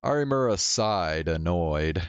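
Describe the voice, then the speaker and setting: A man's voice: low-pitched, expressive, a little slow, clean tone, no room sound. A man doing casual game commentary and reading visual novel text aloud. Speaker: game streamer. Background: game music.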